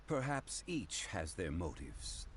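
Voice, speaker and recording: A man playing a composed, courtly and learned gentleman in a video game, speaking in a formal, measured, lecturing way. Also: wildly swooping pitch, a little hiss or hum, exaggerated voice. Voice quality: low, measured voice. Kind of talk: video game dialogue acting. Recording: game music behind the voice.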